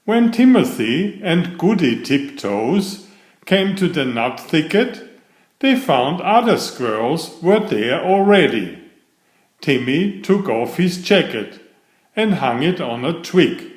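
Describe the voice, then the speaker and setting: A man reading a children's story aloud in a measured, expressive storytelling manner. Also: on the high side, echoey room.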